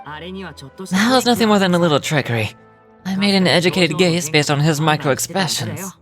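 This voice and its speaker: A young male street magician from a video game, acted by a woman, pitched well below her usual voice. He is playful and shrewd, speaking with theatrical, teasing assurance. Music plays underneath. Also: expressive, moderate pace, lightly acted. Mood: playful, confident. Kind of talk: video game character dubbing.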